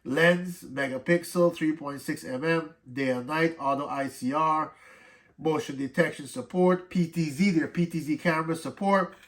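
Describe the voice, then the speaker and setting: A man's voice: a little high, normal speed, fairly bright tone. A man with a slight cold and a stuffed-up voice, casually walking through an unboxing and reading product specs aloud before explaining them. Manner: reads specs off the box, then explains in loose asides. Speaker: tech reviewer.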